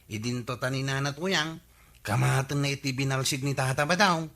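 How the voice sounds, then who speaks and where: A man's voice: mid-range voice, normal speed, sharp tone. A man playing an inquisitive, teasing village chief in a radio comedy drama, with an Ilocano accent.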